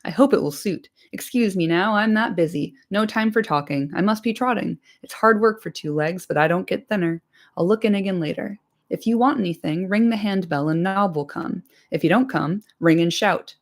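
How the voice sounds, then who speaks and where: A woman voicing a fat, bustling, bald male innkeeper who talks quickly and without pause. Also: mid-range voice, natural voice. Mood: chatty, harried. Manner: brisk, talkative, hurried.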